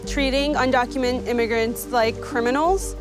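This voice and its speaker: A woman's voice: a little high, steady tone, moderate pace. An American woman giving her opinions in an interview. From United States. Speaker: street interviewee. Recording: music behind the voice.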